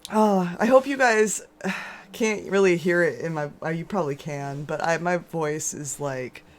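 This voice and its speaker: A woman streaming and chatting casually with viewers, with a hoarse, scratchy voice from a sore throat and a rambling, chatty way of talking. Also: a little slow. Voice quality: lower and scratchier than usual. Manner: rambling, chatty, with frequent restarts and laughs. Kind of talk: casual chat while gaming.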